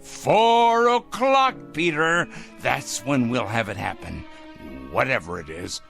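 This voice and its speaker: An actor playing a fanatical, self-righteous male vigilante in a radio drama, swinging from hushed murmurs to shrill outbursts. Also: animated, slow pace, creaky, exaggerated voice. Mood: zealous. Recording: faint music score behind.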